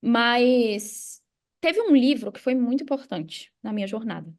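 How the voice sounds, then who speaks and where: Brazilian female coach, high and creaky voice, casual explanatory interview delivery. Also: expressive, unhurried, darkish tone. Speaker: podcast guest, money mindset coach.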